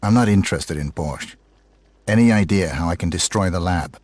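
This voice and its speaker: An actor playing a stoic hitman in a video game, speaking in a terse, deadpan manner. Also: low-pitched, moderate pace, dry recording. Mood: cold, businesslike. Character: cold, stoic male professional assassin.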